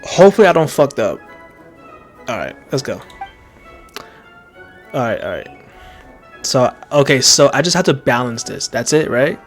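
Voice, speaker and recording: A man's voice: mid-range voice, big pitch swings, normal speed, long pauses, faint music behind. A man giving casual gameplay commentary, muttering to himself and swearing freely. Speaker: video game player.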